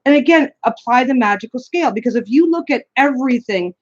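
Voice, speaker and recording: A woman's voice: high voice, lively intonation, fast. Scratchy-voiced woman chatting on a live stream. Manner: rambling, many restarts and repeats. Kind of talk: casual live-stream chat. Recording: dull lo-fi stream audio.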